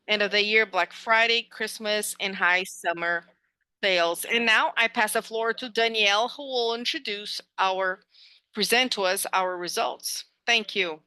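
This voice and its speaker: This is a woman with a bright, forceful voice, clean and crisp in tone. She sits slightly high in pitch and interprets a corporate presentation live, in halting chunks, one phrase after another. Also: fairly even intonation, no room sound. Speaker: interpreter on an earnings call.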